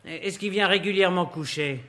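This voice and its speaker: A man's voice: high voice, normal speed, bright, forceful tone. A man playing a jovial, sly amateur sleuth in a French radio play, chummy and bantering yet insistent. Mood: polite, insistent.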